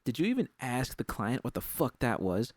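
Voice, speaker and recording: A man's voice: mid-range voice, sing-song, normal speed, dry recording, studio quality, pitched above the performer's own voice. A man voicing a hot-headed, indignant young woman tech editor in an audiobook story, confrontational and foul-mouthed. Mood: outraged. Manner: confrontational, profane.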